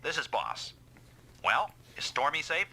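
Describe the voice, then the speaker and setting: A man playing a brisk, impatient commander of a mech squad in a dubbed video game. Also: on the high side, big pitch swings, gravelly, breathy edge, full character voice. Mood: urgent, commanding. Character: gruff, commanding male mech squad boss. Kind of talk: anime-style video game dub acting. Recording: loud game music behind. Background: loud music.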